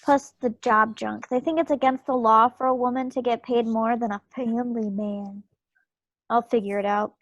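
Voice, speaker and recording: A woman's voice: a little high, fairly even intonation, normal speed, dry recording. A woman playing a stubborn, spirited young 1940s war wife with folksy directness, in a play reading over a video call. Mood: stressed, resolute. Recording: muffled video-call audio.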